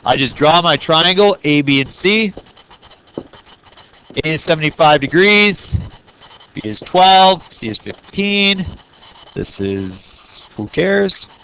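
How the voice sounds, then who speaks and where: Male teacher, higher register, playful and jokey, classroom delivery. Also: lively intonation, slow, long pauses, sharp tone, clear, resonant tone, poor audio. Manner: jokey, playful, talks straight to students. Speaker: math teacher. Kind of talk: classroom lesson recorded on video.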